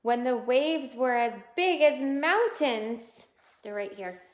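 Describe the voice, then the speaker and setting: A woman with a high voice reads a children's picture book aloud. Her delivery is slow, with big swings in pitch, and she breaks off to point things out to young listeners. The room adds a noticeable echo. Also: animated. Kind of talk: children's read-aloud storytelling. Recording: roomy, echoing indoor sound.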